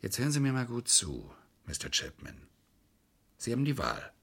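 An actor playing a shrewd, controlled defence lawyer in a German crime radio play, formally polite and insistent. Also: male voice, medium pitch, wildly swooping pitch, normal speed, gravelly, a bit bright, husky, heavily acted. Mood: coolly pressing. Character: shrewd, coolly calculating male defence lawyer.

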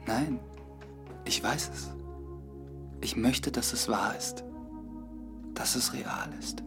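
A gentle, troubled clergyman in a radio drama. The voice is medium in pitch, soft and hesitant, with loud music underneath. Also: takes it slowly. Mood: tender, hushed. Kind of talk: German radio drama acting.

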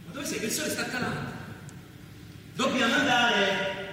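An actor playing an anguished biblical brother in a radio play, speaking intense, lyrical lines with insistent repetition. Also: male voice, very high-pitched, expressive, moderate pace. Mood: pleading, urgent. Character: anguished biblical brother, a toiling man of the land. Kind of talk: poetic theatrical radio drama. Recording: very echoey, distant, noisy hall.

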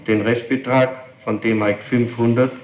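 A man's voice: mid-range voice, fairly even intonation, unhurried. A man reading a statement back in a formal, matter-of-fact way during an interrogation. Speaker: police record taker. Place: echoey interrogation room. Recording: echoey archival tape with faint hiss.

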